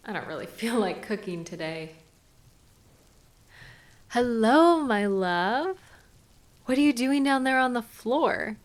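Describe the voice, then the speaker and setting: Woman acting, loving female partner, intimate audio roleplay, soothing, gently questioning, slow pace. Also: a little high, expressive. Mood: tired, wistful, then affectionate, sympathetic. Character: caring, gently chiding partner arriving home to their sweetheart. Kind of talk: intimate scripted audio roleplay addressed to the listener.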